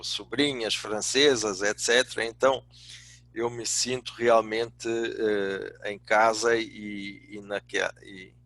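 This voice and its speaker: An older man with a European Portuguese accent giving a semi-formal prepared talk, courteous, often halting and repeating words. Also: fairly low pitch, a bit bright. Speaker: guest speaker, business executive and academic.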